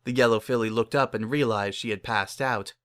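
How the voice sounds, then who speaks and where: Male narrator for scripted fiction, mid-pitched reading voice. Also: steady tone, brisk, fairly bright tone, clear, resonant tone.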